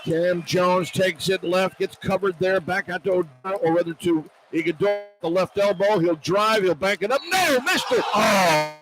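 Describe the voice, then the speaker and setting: A man calling live basketball play-by-play on the radio, excitable and partisan, in clipped running descriptions. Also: high-pitched, normal speed, soft background music, poor audio. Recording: arena noise behind broadcast commentary. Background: arena crowd ambience.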